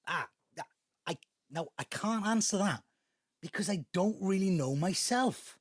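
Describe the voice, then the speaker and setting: An embittered grown son in an audio drama. His delivery is emotive and confrontational, breaking into halting phrases. The pitch moves a great deal, and the recording is close and dry. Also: animated, no room sound. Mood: guarded, bitter. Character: bitter, resentful grown son with an axe to grind. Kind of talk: naturalistic audio drama acting.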